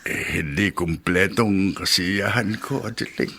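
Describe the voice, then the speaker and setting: An older Filipino father in a radio drama, affectionate and teasing with a melancholy streak. His voice is creaky and forward, and he talks slowly. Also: low-pitched, sharp tone, exaggerated voice. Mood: wistful, heavy-hearted.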